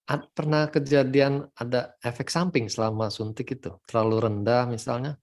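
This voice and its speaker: A man's voice: mid-range voice, fairly even intonation, moderate pace, darkish tone. A man with an Indonesian accent hosting a conversational interview, asking follow-up questions and restating answers. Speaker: host of a testimonial livestream, addressed as doctor.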